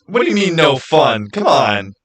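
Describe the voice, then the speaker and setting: A man hosting a livestream, talking informally and haltingly as he thinks aloud and answers his viewers. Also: a little high, big pitch swings, a bit bright. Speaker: streamer hosting a live channel Q&A. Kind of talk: casual livestream chat, answering viewer comments.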